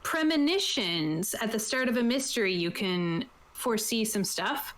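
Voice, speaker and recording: A woman's voice: on the high side, expressive, normal speed, fairly bright tone. A woman chatting casually and upbeat as she hosts a roleplaying stream and explains the rules, close to her mic. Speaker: host and game master of a tabletop roleplaying stream. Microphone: close desktop mic on an online voice chat.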